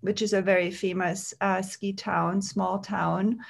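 A woman guest recounts her path at an easy pace, pausing on fillers and restarting phrases. Her voice carries a gravelly fry, set at a middle pitch for a woman. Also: flat delivery. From Austria. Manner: unhurried, halting, with frequent fillers and restarts. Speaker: makeup artist guest. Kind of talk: conversational storytelling.

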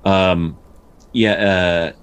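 Very deep-voiced man, halting explainer. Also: fairly even intonation, clear, resonant tone. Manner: halting, with repeated words and stutters. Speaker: podcast co-host and folklore storyteller. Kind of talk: casual explanatory discussion of folktales.

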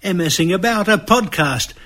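A man with an Australian accent hosts a casual comic podcast. He speaks in a somewhat high male register and keeps a joking, teasing manner. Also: expressive, normal speed, heavy background noise. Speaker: podcast host.

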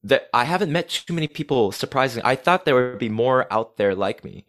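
An energetic man, a podcast guest talking casually and swearing freely. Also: medium pitch, fairly quick. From United States. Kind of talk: casual conversation.

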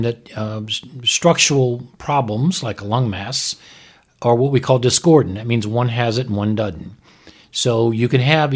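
A man who is a doctor co-hosting a medical talk, explaining things in a plain-spoken, frank and emphatic way. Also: mid-range voice. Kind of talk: explanatory commentary in conversation.

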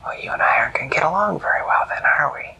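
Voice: medium pitch, animated, quick pace, gravelly, sharp tone, husky, voice pushed up, full character voice, poor audio. A storyteller voicing a male jackal trickster who speaks in a smug, teasing whisper.

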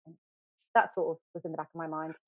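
Chatty, animated British woman guest. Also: deep voice, quick pace, dry recording. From United Kingdom. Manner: animated, chatty, self-deprecating, many fillers and restarts. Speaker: podcast guest, florist. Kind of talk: casual storytelling interview. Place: podcast studio. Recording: dry, slightly dull studio sound.

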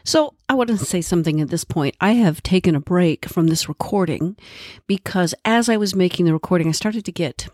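A woman's voice: lowish voice, animated, fairly quick, a little mellow, dry recording, clean studio sound. A woman podcast host with a congested, nasal voice from a cold, giving a friendly solo explanation with asides. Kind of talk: solo educational podcast talk.

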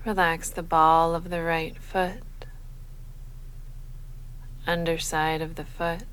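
Slow-paced woman guiding sleep meditation. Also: low voice, clear, resonant tone, low-quality recording. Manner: measured, soothing, unhurried instruction. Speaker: yoga nidra guide. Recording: faint steady background noise.

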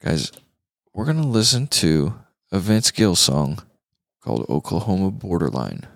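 A man's voice: deep voice, sing-song, measured pace, gravelly, dry recording, studio quality. An American man hosting a podcast, chatting casually about music in a laid-back, slangy way. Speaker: podcast host.

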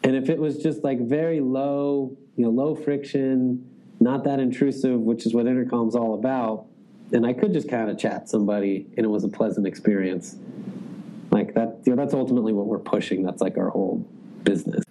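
A man's voice: mid-range voice, fairly even intonation, a little fast, mellow, slight background noise, low-quality recording. A man in a casual interview, speaking loosely, with lots of "like" and restarts. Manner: loose, filler-heavy, frequent "like". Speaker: sales executive guest.

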